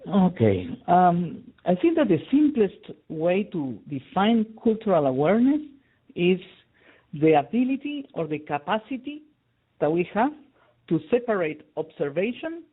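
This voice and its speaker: A middle-aged man from Peru speaks English. He guests on a talk show, explaining things hesitantly, with plenty of fillers and restarts. His voice sits fairly high for a man and comes through a tinny phone line. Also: very animated, unhurried, husky. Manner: hesitant, many repeated words and fillers. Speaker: guest expert on conflict resolution. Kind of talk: phone interview, casual explanation.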